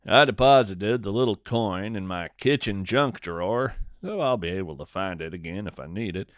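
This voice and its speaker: A man's voice: lowish voice, animated, moderate pace, no echo, studio quality. A man hosting a podcast, reading scripted first-person whimsical fiction with wry, conversational asides. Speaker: podcast host and narrator.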